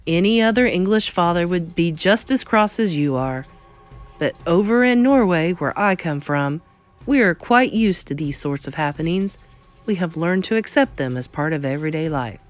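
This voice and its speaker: Woman playing a low, unruffled old grandmother. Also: expressive, moderate pace, faint music behind, natural voice. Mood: soothing. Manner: unruffled, matter-of-fact, mischievous. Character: calm, wry old Norwegian grandmother.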